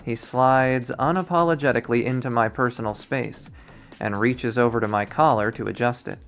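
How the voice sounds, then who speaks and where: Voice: mid-range voice, moderate pace, faint music behind. A reader narrating a visual novel aloud in a dry, wry way. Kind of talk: dramatic read-aloud narration.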